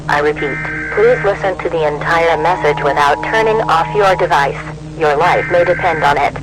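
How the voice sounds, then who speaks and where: A man reads a scripted emergency broadcast as an announcer, in a formal, measured and impersonal manner. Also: a little high, music in the background, low-quality recording.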